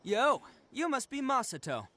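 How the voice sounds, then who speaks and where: A man's voice: very high-pitched, very animated, pushed and bright, full character voice. An actor playing a brash, big-hearted young male oni gang leader in a video game, earnest and colloquial. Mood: casual, confident. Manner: swaggering, earnest, colloquial with drawn-out words. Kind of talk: video game character acting.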